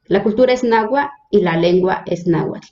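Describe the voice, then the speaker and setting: A religious sister from Hidalgo, Mexico, whose first language is Nahuatl, introducing herself in an interview with a hesitant manner and many fillers. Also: female voice, mid-range voice, normal speed, roomy sound, dull audio. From Mexico, Hidalgo state. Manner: hesitant, frequent fillers and restarts.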